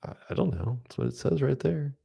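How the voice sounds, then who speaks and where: A man's voice: mid-range voice, brisk, gravelly, warm tone, breathy edge. A man co-hosting a podcast, plainly recounting articles and reading out comments, with many ums. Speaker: podcast co-host. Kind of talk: casual chat.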